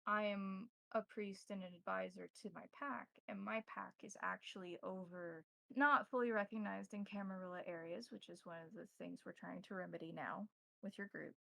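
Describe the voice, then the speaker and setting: A woman playing a courteous, cryptic vampire priest and advisor, speaking formally in measured, halting phrases in a tabletop roleplaying game. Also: mid-range voice, steady tone, gravelly, sharp tone, no echo, rough recording. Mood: solemn, explaining.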